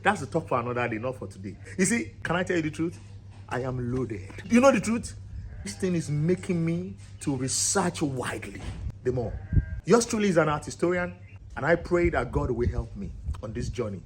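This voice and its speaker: A man's voice: fairly high pitch, expressive, normal speed. A man, founder of a cultural channel, giving an impassioned monologue to camera in an emphatic, rousing way. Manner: emphatic and rousing, builds repetitive lists.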